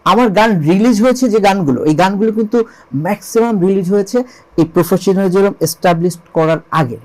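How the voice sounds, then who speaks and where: A high-voiced man with a Bangladeshi accent answers as an interview guest. He speaks earnestly and emphatically, repeating key phrases and posing rhetorical questions, with English words mixed in. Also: fairly quick, a little mellow, low-quality recording. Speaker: interview guest, songwriter and composer.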